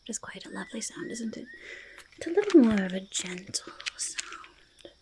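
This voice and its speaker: A woman voicing a small, curious, gentle goblin in an ASMR roleplay, whispered and soft-spoken, breathy and creaky, often repeating little phrases. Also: medium pitch, very animated, moderate pace, natural voice. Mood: delighted, gentle. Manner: refers to self in third person, repeats phrases softly. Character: small curious goblin, gentle, eager, easily delighted hoarder.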